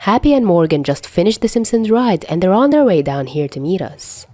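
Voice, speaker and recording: A woman's voice: fairly low pitch, sing-song, brisk, warm tone. A woman voicing a wry middle-aged man, an exasperated but fond father figure, with dry teasing in an audiobook story. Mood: casual.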